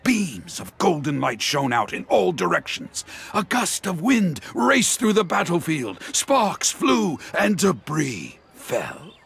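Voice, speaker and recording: Grandiose male storyteller, swooping pitch. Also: sing-song, creaky, a bit bright, slightly rough, full character voice. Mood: grandiose, suspenseful. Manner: grandiose, suspenseful, with dramatic pauses. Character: dramatic male street storyteller spinning heroic tales. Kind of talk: theatrical storyteller narration. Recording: background music under voice.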